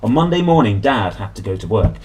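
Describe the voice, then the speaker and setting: A man's voice: fairly low pitch, big pitch swings, fairly quick, darkish tone, slight background noise. A man reading a children's story aloud expressively, with dramatic emphasis and playful delivery. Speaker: storybook reader. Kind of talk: animated children's story narration.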